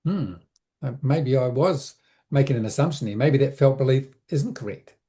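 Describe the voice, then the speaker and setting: A man with a notably dark, soft-edged voice at an ordinary male pitch. He explains things conversationally, like an advisor being interviewed. His delivery is reflective, circling back and rephrasing as he goes. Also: lively intonation. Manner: reflective, halting, repeats words and rephrases as thinking aloud. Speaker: interview guest, business advisor.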